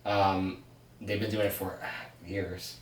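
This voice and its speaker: A man's voice: low voice, flat delivery, takes it slowly. A man in his twenties co-hosting a podcast, chatting casually in a rambling, self-correcting way. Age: 20s. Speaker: podcast co-host. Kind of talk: casual sibling chat. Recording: echoey room, distant mic.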